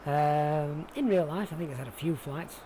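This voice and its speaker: A man's voice: fairly high pitch, moderate pace, mellow. A man from Sheffield with a Yorkshire accent, giving casual, chatty live commentary on a flight simulator stream as he thinks aloud and talks to viewers. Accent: Yorkshire English. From Sheffield, England. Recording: clear background noise.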